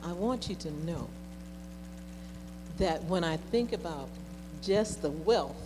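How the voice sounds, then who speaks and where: Slow-speaking woman in an echoing hall. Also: on the low side, animated. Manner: deliberate, broken into short phrases. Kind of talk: reflective address to an audience. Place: large reverberant hall at a conference. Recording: noisy reverberant hall with hum.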